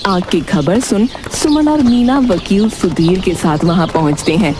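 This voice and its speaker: A woman's voice: medium pitch, unhurried, over music. A woman narrating a scripted cartoon moral story as its storyteller.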